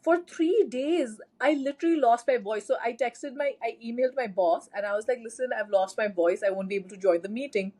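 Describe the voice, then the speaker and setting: A young woman from India co-hosting a podcast, telling stories in an animated, chatty way. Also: on the high side, a little fast, hardly any pauses. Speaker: podcast co-host. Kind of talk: casual chat.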